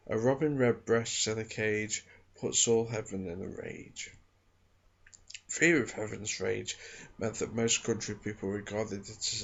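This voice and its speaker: A soft-spoken man with a husky voice reads from a non-fiction book in a measured, careful ASMR style. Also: on the low side, lo-fi sound. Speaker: ASMR creator reading aloud.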